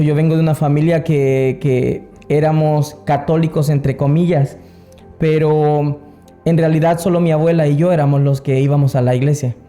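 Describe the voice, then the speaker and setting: A Salvadoran-accented man gives a personal testimony as an interview guest. His voice is very soft-edged and dark, pitched a little high for a man. Faint music plays underneath. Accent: Salvadoran Spanish. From San Salvador, El Salvador. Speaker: interview guest, worship singer. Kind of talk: personal testimony, casual storytelling.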